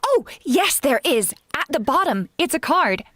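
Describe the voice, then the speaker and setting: A woman's voice: high voice, big pitch swings, measured pace, fairly bright tone, no echo, full character voice. A woman playing a loyal, wry housemaid in a 1940s-style radio comedy, with a dry, knowing, deferential manner. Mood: playing along. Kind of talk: 1940s radio sitcom acting.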